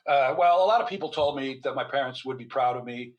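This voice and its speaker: A man's voice: medium pitch, quick pace, lo-fi sound. An older man speaking as an interview guest, telling anecdotes in a rambling, conversational way. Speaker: guest author and physician.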